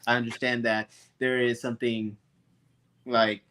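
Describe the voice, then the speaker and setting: A man from Puerto Rico, talking earnestly in a casual livestream chat, halting with fillers. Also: mid-range voice, flat delivery, fairly bright tone. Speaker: comic co-creator.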